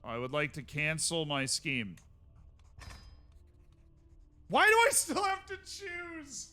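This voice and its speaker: A man's voice: fairly high pitch, wildly swooping pitch, unhurried, sharp tone. A man streaming a strategy game, chatting casually with wry asides to himself.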